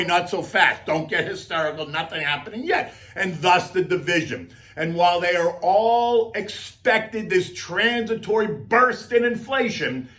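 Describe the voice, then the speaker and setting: A man with a scratchy, hoarse voice giving emphatic, conversational market commentary as a host, full of rhetorical questions and folksy asides. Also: high voice, fairly bright tone. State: hoarse, losing voice. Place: outdoors.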